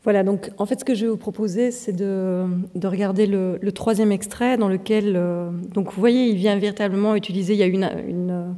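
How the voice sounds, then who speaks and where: A woman's voice: mid-range voice, a little fast, few pauses. Woman presenting video clips in a hall. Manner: explanatory, relaxed, with fillers. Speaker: trainer presenting on radicalisation. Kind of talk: presentation to an audience.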